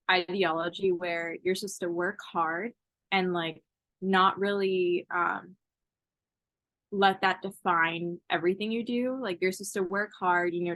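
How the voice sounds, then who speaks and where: A woman's voice: on the low side, a bit bright, dry recording. A woman in her twenties, a college student from Ethiopia raised in Texas, answering interview questions casually with lots of fillers. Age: 20s.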